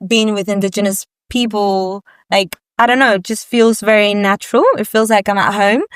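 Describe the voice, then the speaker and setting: A woman's voice: medium pitch, steady tone, moderate pace, clear, resonant tone, dry recording. Woman, podcast guest, from Spain, partly raised in England, clean resonant tone, dry sound, informal, reflective, tentative. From Spain, with much of childhood in England. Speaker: podcast guest, actress and yoga instructor. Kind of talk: casual conversation.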